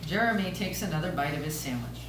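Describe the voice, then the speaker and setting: Low-voiced woman narrator, very echoey. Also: lively intonation, fast. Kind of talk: scripted narration at an amateur table read. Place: echoey room, recorded from a distance. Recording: very echoey room, distant mic, clear noise.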